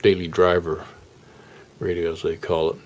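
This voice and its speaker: A man giving a casual, unscripted hands-on demonstration as a hobbyist, explaining in a halting, rambling, folksy way. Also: deep voice, monotone, darkish tone. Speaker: hobbyist radio restorer presenting his project.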